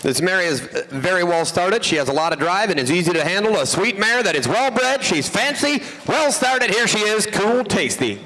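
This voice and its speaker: Man, sale announcer, upbeat pitch, bright voice, long hall echo. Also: sing-song, moderate pace, few pauses, slight background noise. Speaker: ringman announcer. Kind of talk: sale announcing and sales talk. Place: horse sale arena.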